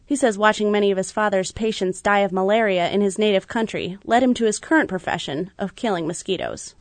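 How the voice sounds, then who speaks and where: A woman radio reporter narrating a scripted news feature in a measured, even delivery. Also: mid-range voice, no room sound.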